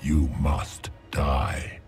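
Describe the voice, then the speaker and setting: An ancient, monstrous self-made god in a fantasy game, with a cavernously low male voice. He declaims slowly and pompously, full of contempt, over loud dramatic music. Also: little pitch movement, full character voice. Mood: menacing. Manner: pompous, slow archaic declamation.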